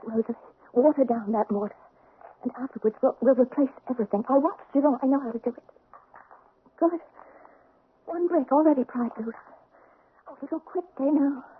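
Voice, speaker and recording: An actress playing a desperate, scheming young countess, imperious yet fragile, in an old radio drama. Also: female voice, high voice, a little fast, long pauses, close to an everyday voice. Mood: anxious, excited. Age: young adult. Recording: tinny, faintly noisy old radio recording.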